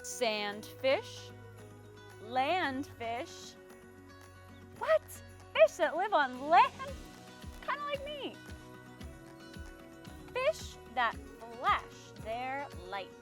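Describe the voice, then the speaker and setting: High-pitched, playful woman hosting children's storytime. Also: huge pitch swings, drawn-out words, long pauses, faint music behind. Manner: playful, addresses young viewers directly, exaggerated expression. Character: friendly singing mermaid who loves the land. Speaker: children's storytime host in a mermaid persona. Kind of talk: children's storytime read-aloud and singalong presenting.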